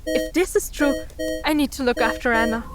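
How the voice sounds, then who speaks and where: A woman playing an anxious, stranded spaceship crew member in an audio drama, speaking in a worried, halting log. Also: sits high, loud music behind the voice, lightly acted. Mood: alarmed. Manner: worried, halting, self-correcting.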